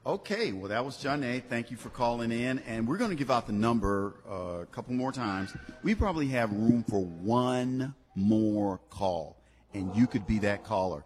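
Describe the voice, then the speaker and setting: A man's voice: lowish voice, a little mellow, husky. A man hosting a live radio talk show, encouraging callers in a preachy, faith-filled way. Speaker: radio host.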